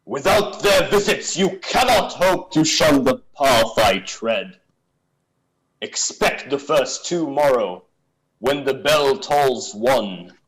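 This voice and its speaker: A man's voice: mid-range voice, expressive, takes it slowly, rough recording. A man playing a stern, mournful ghost in a radio play, speaking in a solemn, portentous way. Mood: urgent, commanding. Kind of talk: old-time radio play acting.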